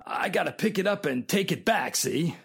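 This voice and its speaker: A man playing a rough, dim crook in a 1940s style radio drama, with slangy, ungrammatical street talk. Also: sits high, expressive, moderate pace, a bit bright, full character voice. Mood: pushy, cajoling.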